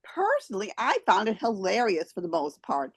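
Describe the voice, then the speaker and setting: A woman's voice: medium pitch, big pitch swings, normal speed, vocal fry, husky. A woman reading out theater reviews as a show host. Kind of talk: scripted review read aloud.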